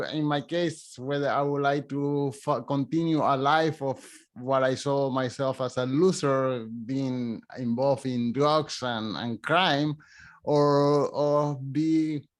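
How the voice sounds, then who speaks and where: A middle-aged man with a Spanish accent, a podcast host telling his own story in a halting way, with many fillers and restarts. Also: medium pitch. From Spanish-speaking country. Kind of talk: casual interview chat.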